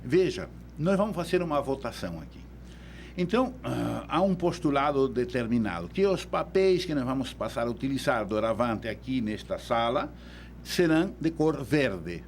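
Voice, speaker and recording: A man's voice: mid-range voice, very animated, normal speed, slight background noise. A man from Uruguay with a Uruguayan Spanish accent, a law professor explaining things as a guest in a radio studio, often repeating words while he thinks.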